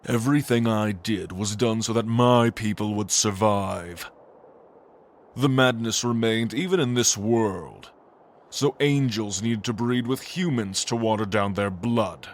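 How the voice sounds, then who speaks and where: A man's voice: on the low side, unhurried. A man playing an ancient, arrogant angel lord, a gloating villain who speaks with grandiose contempt in a fantasy audio drama. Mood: cold, self-justifying.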